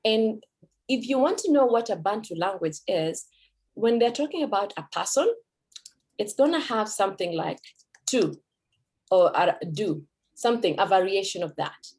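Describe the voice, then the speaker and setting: A woman's voice: mid-range voice, lively intonation, moderate pace, a little mellow, slightly rough. A Kenyan woman whose first language is Kikuyu, giving a conversational talk at a cultural event as a Swahili teacher, with fillers and self-corrections.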